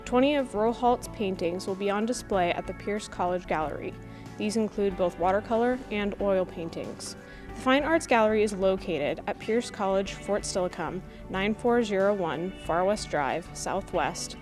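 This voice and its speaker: A woman reading a scripted announcement as the announcer of a campus TV program. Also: mid-range voice. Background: music.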